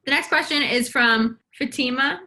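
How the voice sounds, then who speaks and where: A woman's voice: sits high, normal speed, sharp tone. A woman in her early twenties co-hosting a casual Q&A video, giggly and full of "like". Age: early 20s. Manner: giggly, heavy use of "like". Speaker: YouTube co-host. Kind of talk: casual Q&A chat.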